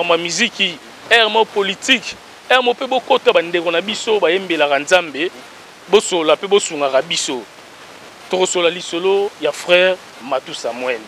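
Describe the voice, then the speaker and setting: A man, hosting an outdoor talk show with energetic, emphatic delivery into a handheld mic. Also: high voice, a little hiss or hum. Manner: energetic, emphatic, rattles off shout-outs. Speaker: host. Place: outdoor garden by a wall.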